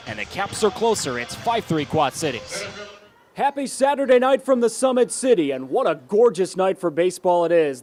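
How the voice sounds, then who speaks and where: An American man calling baseball play-by-play as a broadcaster, animated and given to shouting on big plays. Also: high voice. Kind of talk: live sports play-by-play commentary.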